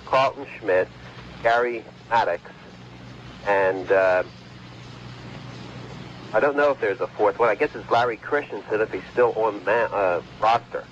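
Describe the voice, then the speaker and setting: A man's voice: lowish voice, unhurried, long pauses. A middle-aged man chatting casually as a caller to a radio phone-in contest. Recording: dull, noisy phone call on radio.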